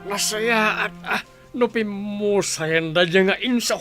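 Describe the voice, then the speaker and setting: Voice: medium pitch, slow pace, a bit bright, faint music behind. A performer playing a greedy, callous male village villain in an Ilocano radio drama, gloating and taunting. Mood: gloating, scornful.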